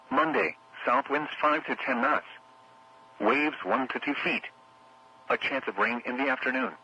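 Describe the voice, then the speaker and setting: A synthetic male announcer reads a weather forecast over the radio in formal, clipped phrases. His voice is very sharp and forceful, with a gravelly creak and a raspy, rough finish. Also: medium pitch, muffled recording. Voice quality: synthetic text-to-speech voice. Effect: radio broadcast. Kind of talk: scripted weather forecast readout.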